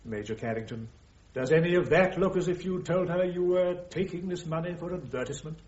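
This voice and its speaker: Guy doing military prosecutor, measured and pointed, radio play, echoey muffled room. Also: a little high, darkish tone, close to an everyday voice. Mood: pointed, pressing. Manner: formal, measured, probing. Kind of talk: radio courtroom drama acting. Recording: dull, roomy radio drama recording.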